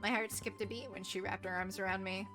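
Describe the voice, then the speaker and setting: A woman's voice: mid-range voice, steady tone, quick pace, music in the background. A woman reading a visual novel aloud as a live playthrough, narrating and adding playful asides. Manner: playful asides between read lines.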